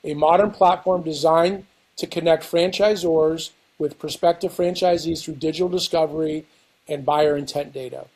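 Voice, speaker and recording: A man's voice: a little high, fairly even intonation, normal speed, darkish tone, rough recording. A man hosting a podcast, reading a scripted intro and asking questions, repeating words as he asks. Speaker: podcast host.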